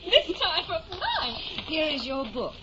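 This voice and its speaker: Inn servant wench: high, cheeky, lively, noisy 1950 radio drama recording. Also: female voice, takes it slowly, muffled recording, full character voice. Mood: cheeky, obliging. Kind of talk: 1950 old-time radio acting.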